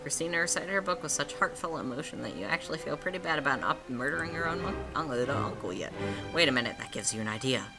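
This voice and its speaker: A woman's voice: low-pitched, expressive, fast talker, over loud music. A woman reading a story aloud as a game host, with playful, dramatic phrasing and casual asides. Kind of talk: expressive read-aloud narration with casual asides.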